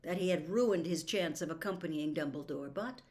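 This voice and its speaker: A woman narrating a novel aloud as a storyteller, with an expressive, dramatic delivery. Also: sits low, normal speed.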